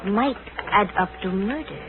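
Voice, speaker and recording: A woman's voice: medium pitch, a little slow, over loud music, lightly acted. An actress playing a gracious, enigmatic Chinese noblewoman in a 1940s radio drama, formal and silky, with veiled meaning. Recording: tinny 1949 radio recording, background hubbub.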